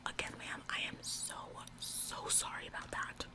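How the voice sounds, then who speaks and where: A woman's voice: moderate pace, lightly acted. A woman in a whispered ASMR roleplay, playing a bossy, officious store employee who repeats phrases for emphasis. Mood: gushing apology. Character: bossy, overzealous female retail store employee, falsely apologetic. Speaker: ASMR performer.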